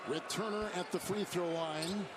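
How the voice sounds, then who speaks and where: A man's voice: high-pitched, steady tone, moderate pace. A man calling live basketball play-by-play as a commentator. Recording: loud arena crowd noise behind. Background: basketball arena crowd, ball bounces.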